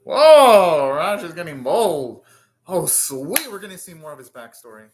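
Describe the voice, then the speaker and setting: A man's voice: high voice, very animated, unhurried. Man giving casual, rambling anime reactions. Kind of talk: casual live reaction commentary.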